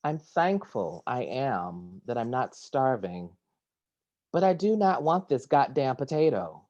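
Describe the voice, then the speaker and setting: A man reading poems aloud at a virtual poetry reading from his home, measured and deliberate, introducing each piece with hesitant fillers. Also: mid-range voice, big pitch swings, darkish tone, lo-fi sound. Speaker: poet reading at a virtual series. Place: home kitchen or similar domestic room. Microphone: video call.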